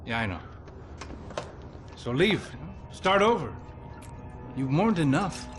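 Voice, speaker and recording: Cocky, animated high-pitched bodyguard. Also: male voice, slow pace. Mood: persuasive. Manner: streetwise, animated, persuasive. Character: cocky, sociable ex-cop turned bodyguard, persuasive man. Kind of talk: video game cutscene acting. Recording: music playing loudly behind.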